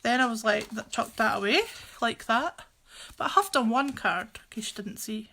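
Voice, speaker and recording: A woman's voice: fairly high pitch, normal speed, gravelly, bright, forceful tone. A woman with a Scottish accent chatting casually and jokily as she hosts a live craft stream, rambling as she goes.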